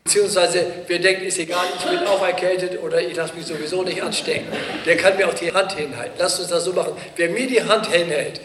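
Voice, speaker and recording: A male pastor with a cold, giving relaxed, joking closing announcements and then the blessing in church. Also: sits high, fast, noisy background. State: has a cold. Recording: reverberant church hall.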